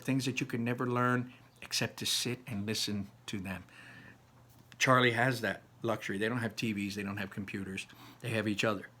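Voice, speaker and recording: A man's voice: mid-range voice, fairly bright tone. A man reading a children's story aloud and commenting informally, in an earnest, encouraging way.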